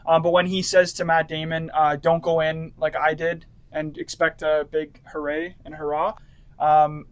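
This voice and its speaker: Earnest, higher-pitched man with flat delivery. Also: normal speed. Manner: earnest, adds detailed points. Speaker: podcast co-host. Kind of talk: casual chat.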